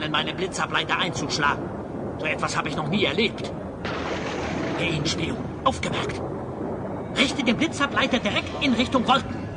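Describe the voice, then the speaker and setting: Swooping, theatrical evil sorcerer. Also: male voice, high-pitched. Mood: exasperated. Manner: theatrical, grandiose, muttering to himself. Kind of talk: audio drama acting. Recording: clearly noisy background.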